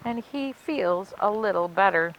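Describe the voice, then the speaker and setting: A woman reading a children's picture book aloud as a library story time host, with expressive, deliberate storytelling. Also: medium pitch, a little hiss or hum, low-quality recording.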